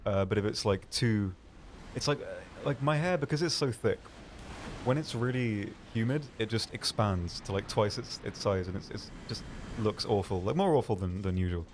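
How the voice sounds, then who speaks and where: A man with a slightly low voice chats as co-host of a game stream. He talks casually, wandering and hesitating, with a self-deprecating streak. There is faint noise in the background. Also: moderate pace. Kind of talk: casual chat.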